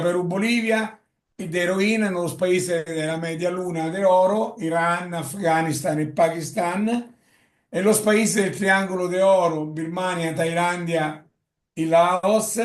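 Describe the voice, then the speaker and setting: A man with an Italian accent gives a lecture in Spanish, often halting with fillers and correcting himself. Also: high-pitched. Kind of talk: lecture presentation. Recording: echoey room.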